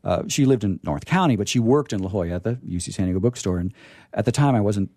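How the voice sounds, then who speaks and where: A man's voice: fairly low pitch, animated, quick pace, a little mellow, dry recording, clean studio sound. A middle-aged man hosting a radio theater show, telling stories casually and theatrically, repeating phrases for emphasis. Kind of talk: casual chat and storytelling.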